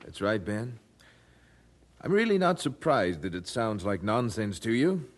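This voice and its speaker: Earnest colonial preacher, expressive delivery. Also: male voice, lowish voice. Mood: resolute. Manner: formal, measured wording, earnest. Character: earnest, devout colonial preacher, firm and articulate. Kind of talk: audio drama acting.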